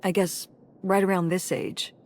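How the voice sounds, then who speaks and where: A woman's voice: fairly low pitch, little pitch movement, measured pace, natural voice. An actress playing a middle-aged mother in a story game, nostalgic about her college days and firm with her daughter. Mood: wry. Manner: warm nostalgic, then firm and dismissive. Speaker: game character. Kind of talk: voiced game dialogue.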